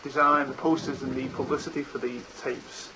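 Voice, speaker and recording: A man's voice: mid-range voice, little pitch movement, fairly quick, background noise. A man, chatting hesitantly and repeating himself as an interview guest. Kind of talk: casual interview chat.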